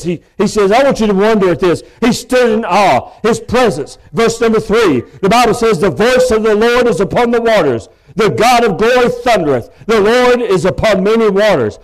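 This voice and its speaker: A man, preaching a sermon from a church pulpit microphone in a big, loud voice with folksy asides. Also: high voice, low-quality recording. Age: 50s. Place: church sanctuary.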